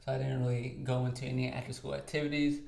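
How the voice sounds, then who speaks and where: A talkative young man from Texas chats easily in an interview, with plenty of filler words. His voice has a gravelly edge and sits at a middle pitch. The sound carries a roomy echo. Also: little pitch movement, racing, mellow. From Texas, United States. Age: 20s. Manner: talkative, easygoing, many fillers. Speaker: podcast co-host and guest. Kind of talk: casual interview chat.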